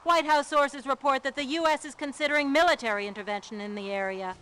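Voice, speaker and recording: A woman's voice: sits high, fast, fairly bright tone. A woman playing an earnest television field correspondent in a game, reporting formally and with urgency. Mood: urgent, serious.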